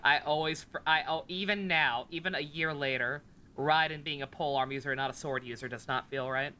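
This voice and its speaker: A woman's voice: sits low, a little fast, sharp tone, slight background noise. A woman live-streaming a game with casual, joking, sweary, rambling commentary. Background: game music.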